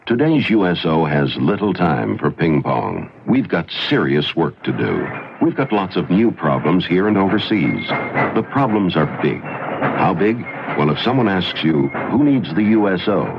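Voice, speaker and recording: Male PSA announcer: deep, emphatic, scripted, heavy background noise, lo-fi radio. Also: big pitch swings, unhurried, vocal fry. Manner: earnest, emphatic short statements. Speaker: public service announcer. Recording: dull, very noisy 1975 radio broadcast.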